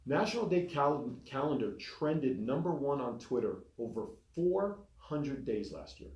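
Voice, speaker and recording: Man, co-host, casual chat, animated pitch, echoey small studio. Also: measured pace. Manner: lively, reads through a list. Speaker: podcast co-host.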